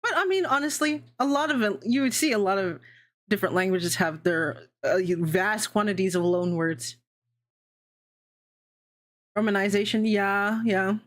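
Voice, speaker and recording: A woman's voice: mid-range voice, normal speed. Chatty young woman streamer. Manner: chatty, rambling, swears casually, laughs often. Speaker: VTuber streamer hosting a worldbuilding session. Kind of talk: casual livestream chat.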